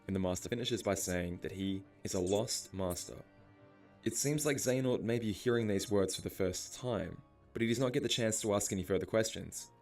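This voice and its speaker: A man with a fairly low voice narrates a scripted lore explainer over a music bed. His delivery is measured and reflective, often framed as musing questions. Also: a little mellow. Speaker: video essay narrator. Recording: music under the voice. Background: background music.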